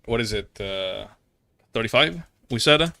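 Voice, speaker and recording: Animated young guy live-streaming a game. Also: on the low side, a little slow, gravelly, clear, resonant tone. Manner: rambling, slangy, playfully exasperated, swearing. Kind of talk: casual live-stream chat while playing.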